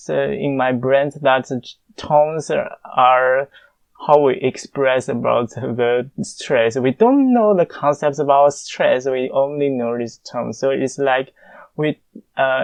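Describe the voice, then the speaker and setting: A young man with a Mandarin Chinese accent, a native Mandarin speaker, answering listener questions in a casual, halting monologue full of filler pauses. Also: medium pitch, gravelly, clear, resonant tone, dry recording, rough recording.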